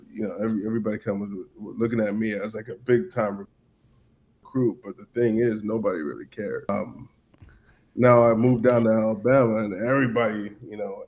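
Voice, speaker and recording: A man's voice: fairly low pitch, a little mellow, poor audio. A man in his thirties from Cameroon, a podcast guest telling stories about his past in an easygoing way with lots of fillers. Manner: easygoing, many "you know" fillers and restarts. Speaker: podcast guest, former player. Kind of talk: casual storytelling chat.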